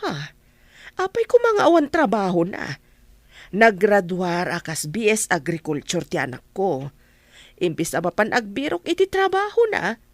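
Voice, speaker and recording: A woman's voice: medium pitch, huge pitch swings, a little slow, no echo. A woman with an Ilocano Filipino accent acting a meddlesome, easily offended village mother in a radio drama, exclaiming and questioning. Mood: aggrieved.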